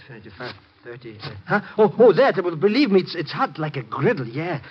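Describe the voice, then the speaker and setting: A muffled vintage radio recording of a money-grubbing, nervous informant. The voice is high and husky, leaping around in pitch. He stammers and tosses out slang like a cowardly small-time crook. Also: very animated, a little fast. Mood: greedy, rambling. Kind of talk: 1950s radio drama acting.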